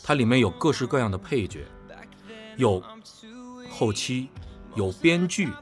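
A man's voice: medium pitch, lively intonation, measured pace. A man chatting on a panel as a voice actor, explaining his views earnestly and with emphasis. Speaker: voice actor and drama director. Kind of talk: casual panel chat. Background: background music.

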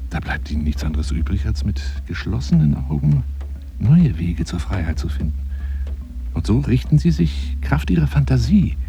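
A man's voice: deep voice, gravelly, mellow. An actor playing a lonely, self-important bookish man in a radio drama monologue, theatrical and rambling, often dropping to a near-whisper.

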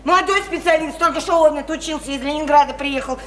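Troubled sickly woman in her 20s, radio play, super high voice, whiny pleading, stubborn, keeps repeating herself. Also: quick pace, a bit bright. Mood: plaintive, resolute. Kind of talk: Russian radio play acting.

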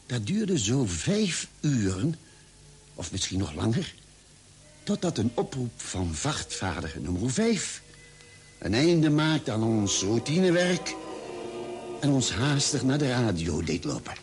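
An actor playing a thoughtful male ship's doctor in an old radio play, speaking deliberately with pauses. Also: mid-range voice, sing-song, faint music behind. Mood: brooding, haunted. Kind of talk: measured, dramatic radio play narration and dialogue.

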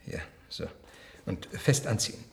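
An actor playing a surgeon in a German radio drama, giving measured instructions in short phrases. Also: male voice, a little mellow, slight background noise, lightly acted. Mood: calm, concentrated. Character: surgeon quietly directing an operation.

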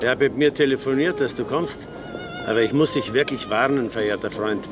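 An actor playing a concerned politician friend in a German radio drama, familiar, admonishing and placating. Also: male voice, medium pitch, brisk, close to an everyday voice. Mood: warning. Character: concerned, cautioning male friend and fellow politician. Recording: clear music behind the voice.

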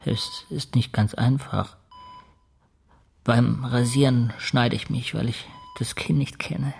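An actor playing an unsettled patient in a radio crime drama, confiding haltingly in a gravelly voice. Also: male voice, mid-range voice, fairly even intonation, a little mellow, slight background noise. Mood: uneasy, confiding. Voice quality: gravelly, creaky. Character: unsettled, hesitant male transplant patient with a stranger's face.

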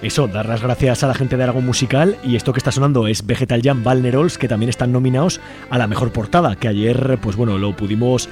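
A man hosts live community radio in a mid-pitched voice. He is chatty and colloquial, speaking straight to his audience and guests. Music plays lightly behind him in the studio. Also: fairly even intonation, fast talker, a little mellow. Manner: chatty, colloquial, addresses listeners and guests directly. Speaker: radio show host. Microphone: studio microphone.

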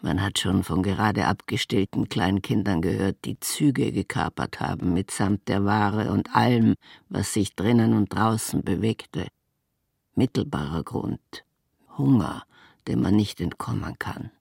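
An actor playing a grim proclaimer of a lawless city's rules in a radio drama, gravelly, with clipped, ominous phrases. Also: male voice, on the low side, measured pace, darkish tone, no room sound, clean studio sound, full character voice.

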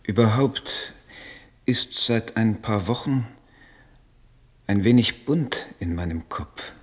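A man acting a troubled, idealistic German poet in a literary radio play, speaking in a solemn, declamatory, halting manner. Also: on the low side, expressive, slightly rough, heavily acted. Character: troubled idealistic German poet reading his own letters.

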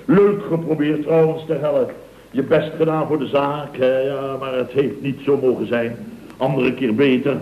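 An actor playing a flustered, blustering middle-aged contractor boss who stammers, repeats himself and grumbles in a comic radio drama. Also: male voice, medium pitch, fairly even intonation, normal speed, close to an everyday voice. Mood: grumbling, scornful. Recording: dull, roomy old radio recording with hiss.

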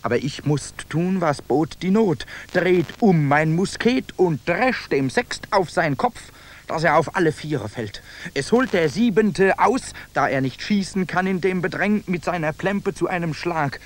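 A man's voice: a little high, lively intonation, unhurried. An actor in a German radio drama playing a hardened soldier who narrates his own tale in archaic, clipped diction. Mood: grim, vivid.

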